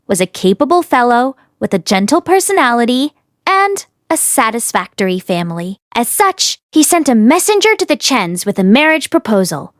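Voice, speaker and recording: A woman narrator reading a story aloud in an expressive storytelling style. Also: fairly high pitch, no room sound, studio quality. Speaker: audiobook narrator.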